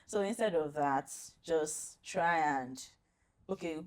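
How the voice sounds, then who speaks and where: A woman doctor with a deep voice, talking on a panel and sharing a personal story in a storytelling manner. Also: expressive. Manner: warm storytelling, quick to laugh. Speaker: pediatric doctor and guest. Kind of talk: panel chat with personal anecdote.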